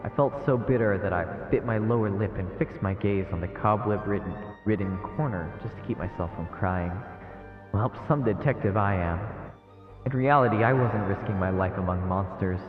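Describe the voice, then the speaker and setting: Male visual novel streamer-narrator, lowish pitch, expressive delivery, muffled band, reverberant, game music behind. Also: moderate pace. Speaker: livestreamer playing a visual novel. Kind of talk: reading game text aloud with spoken reactions.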